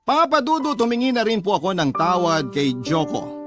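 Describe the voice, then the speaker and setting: A man's voice: sits high, sing-song, normal speed. A man reading a first-person love story letter as scripted narration, with music behind him. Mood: reflective. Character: letter sender telling own love story. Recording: clear music bed behind voice.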